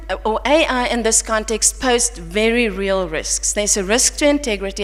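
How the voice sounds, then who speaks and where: A South African woman giving an earnest, reflective academic conference talk at a podium mic, with some restarts. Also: mid-range voice. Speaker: conference panel speaker. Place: large conference hall. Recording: long hall reverb.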